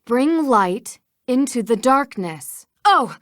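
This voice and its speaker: A woman's voice: on the high side, lively intonation, slow, fairly bright tone, dry recording, studio quality. A woman voicing a plucky, observant young amateur detective in a video game. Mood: curious, focused.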